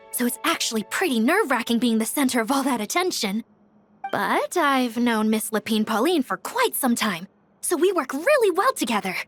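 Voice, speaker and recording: A woman's voice: fairly high pitch, a bit bright. A woman playing a friendly, modest young woman in an anime game dub, with a perky, chatty delivery. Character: friendly, modest young woman, camera-shy reporter type. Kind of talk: English-dubbed anime game acting.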